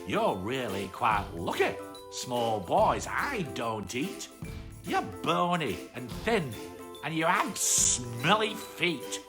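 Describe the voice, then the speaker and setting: A woman voicing a huge hulking male storybook troll, blunt, hungry and taunting, in an emphatic, deliberate way. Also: rumbling low, sing-song, music in the background, exaggerated voice. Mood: taunting, menacing.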